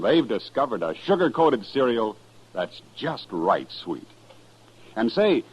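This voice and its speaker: A man's voice: medium pitch, slightly rough, muffled recording. A man with an American accent announcing in the emphatic, salesman-like style of 1950s radio. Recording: old radio recording with faint music.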